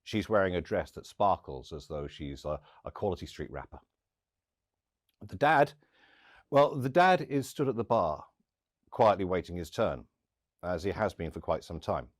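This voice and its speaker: A low-pitched man performs a spoken monologue in a storyteller's style. He leans on pauses and acts out bits of dialogue. The recording is close and dry. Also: big pitch swings, no room sound. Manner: dramatic pauses and acted-out dialogue. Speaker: storyteller and podcast host. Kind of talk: theatrical first-person storytelling monologue.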